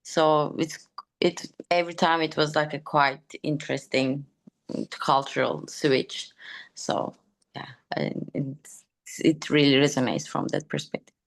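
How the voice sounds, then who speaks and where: A woman, reflecting haltingly as she shares casually in a workshop. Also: low voice, steady tone, vocal fry. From Turkey. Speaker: workshop participant. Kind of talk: casual personal sharing.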